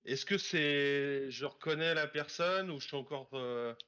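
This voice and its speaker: A man playing a tabletop role-playing game, casually describing his actions in a tentative, halting way. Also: on the high side, sharp tone, smooth.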